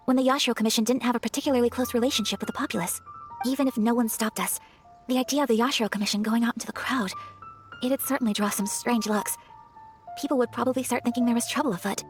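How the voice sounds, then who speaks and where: A woman playing a refined, earnest young noblewoman in a video game, speaking politely and formally in a gentle, hesitant way. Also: on the high side, fast, lightly acted. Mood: wistful. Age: young adult. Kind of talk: video game character acting. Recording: faint music behind the voice.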